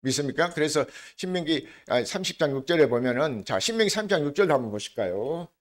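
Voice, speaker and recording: Older male pastor, regional dialect, raised pitch, chatty sermon full of questions to the pews. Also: expressive. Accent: regional Korean dialect. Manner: conversational preaching, asks the congregation questions; slows down to lead scripture reading together.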